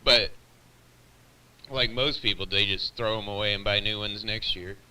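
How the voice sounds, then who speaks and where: A man's voice: lowish voice, fairly even intonation, normal speed, sharp tone, clear, resonant tone. A man chatting casually over CB radio in a plain-spoken, slightly grumbling way, with a distant, hissy sound. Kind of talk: casual chat. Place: on a CB radio live feed.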